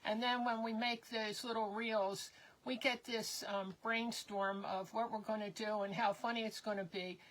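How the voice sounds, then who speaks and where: An older woman co-hosting a live crafting stream, chatting in a rambling, casual way with many pauses as her voice wears thin and hoarse. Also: on the high side, steady tone, poor audio. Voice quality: slightly hoarse. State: losing her voice.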